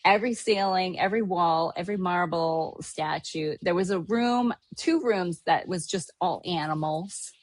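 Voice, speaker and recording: A middle-aged woman from Hawaii tells a casual, rambling story as a podcast host, full of fillers and false starts. Her voice sits a little low, and the sound is dry and close. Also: normal speed, hardly any pauses, dry recording. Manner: chatty, rambling with many fillers and restarts. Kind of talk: casual chat recapping a trip.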